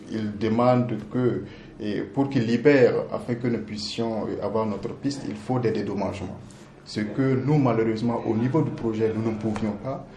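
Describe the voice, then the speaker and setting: A man with a Burkinabe accent gives a spontaneous interview explanation. His voice is soft-edged and mellow at a middling pitch, and he often pauses to search for words. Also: a little hiss or hum. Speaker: project representative being interviewed.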